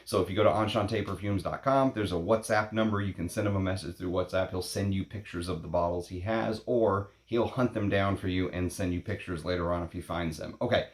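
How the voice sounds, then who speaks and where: A man in his late thirties hosting a perfume vlog, talking casually in a rambling, opinionated way, blunt and quick to swear. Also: low-pitched, a little mellow. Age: 30s. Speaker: perfume channel host. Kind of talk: casual unscripted vlog talk. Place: at home in Texas.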